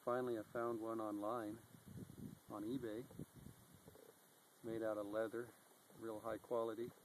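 A man's voice: on the low side, measured pace. A man in his forties casually reviewing outdoor gear, rambling with plenty of ums and uhs, recorded outdoors by a camera a few feet away. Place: outdoors on rocks in open dry country. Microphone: camera on a tripod a few feet away. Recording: loud wind and outdoor noise. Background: steady outdoor noise.

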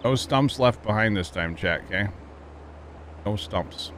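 A relaxed man with a low voice hosts a gaming livestream from his home setup. He chats loosely and laughs often, with a faint hiss under the sound. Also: slow pace. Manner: laid-back, chatty, laughs often. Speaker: livestream host. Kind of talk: casual gaming stream chat.

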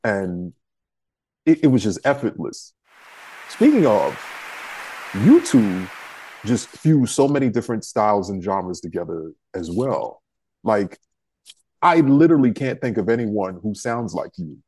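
A man's voice: fairly low pitch, sing-song, a little slow, long pauses, dark tone, a little hiss or hum, low-quality recording. A man hosting an interview over a video call, chatty and teasing. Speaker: host. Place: indoor room at home. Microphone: video call webcam.

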